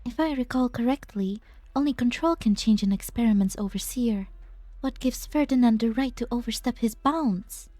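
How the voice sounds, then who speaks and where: A woman voicing an authoritative site director, measured and demanding. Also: on the high side, warm tone, no echo, natural voice. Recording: dry close mic, faint music.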